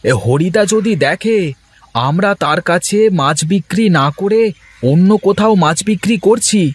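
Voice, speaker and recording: Brief: cartoon fisherman, earnest and fretful, higher husky voice, male actor. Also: moderate pace. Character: honest anxious village fisherman, lively. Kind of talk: Bengali cartoon voice acting.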